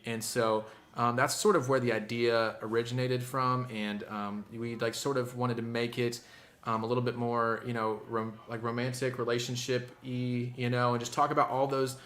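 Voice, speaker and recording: Easygoing young man with a bright voice. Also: mid-range voice, little pitch movement, normal speed. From Indiana, US. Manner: easygoing, many fillers and restarts. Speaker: musician guest. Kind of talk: casual interview chat.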